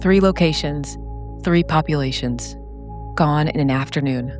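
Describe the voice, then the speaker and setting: A woman narrating a scripted, dramatic solo role-playing story in a solemn, deliberate way with short phrases. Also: deep voice. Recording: background music under the voice.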